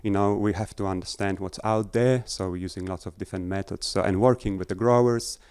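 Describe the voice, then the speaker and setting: A middle-aged man from Poland, a researcher speaking to an audience, halting with many fillers and restarts. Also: sits low, fairly even intonation, a little mellow, clean tone, dry recording. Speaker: agricultural researcher. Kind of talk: talk to an audience. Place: festival tent.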